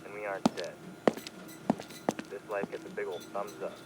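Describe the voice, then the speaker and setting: A man's voice: low voice, level tone, normal speed, over loud music, close to an everyday voice. An actor playing a sardonic young man recording an audio diary in a radio drama. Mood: wry, resigned. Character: young male audio diarist, sardonic survivor. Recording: very noisy radio transmission playback.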